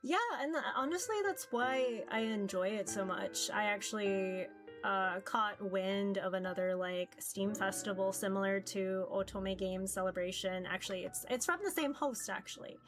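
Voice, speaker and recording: Woman streamer chatting haltingly over music. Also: medium pitch. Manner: rambling, halting, peppered with "like". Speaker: streamer playing visual novels. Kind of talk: casual livestream chat, reading game text aloud. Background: background music.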